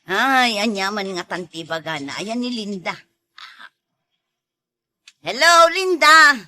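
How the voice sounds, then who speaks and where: A woman's voice: medium pitch, animated, slow, bright, forceful tone, no room sound, full character voice. A woman with an Ilocano accent playing a sweet, giggly, flirtatious lady in a comedy drama. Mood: cheerful.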